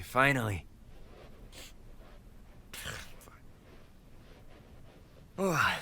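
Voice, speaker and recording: A tough gang leader boyfriend played by a man talks in a fairly low voice to his sweetheart. He is playful and fond, teasing and mock-complaining with casual swearing. It is an intimate romantic audio roleplay, with his soft side showing. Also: full character voice. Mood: mock grumpy, playful.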